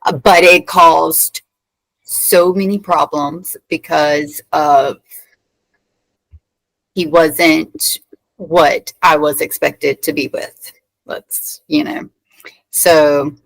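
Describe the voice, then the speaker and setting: A middle-aged woman talking casually as a client in a reading, hesitant, with many pauses and restarts. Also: low voice, steady tone, creaky, no echo, low-quality recording. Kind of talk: casual conversation.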